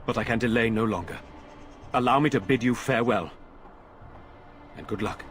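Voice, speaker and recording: An actor playing an earnest, resolute noble lord giving orders in a video game. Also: male voice, medium pitch, normal speed, soft background music, close to an everyday voice. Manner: earnest, steady, commanding.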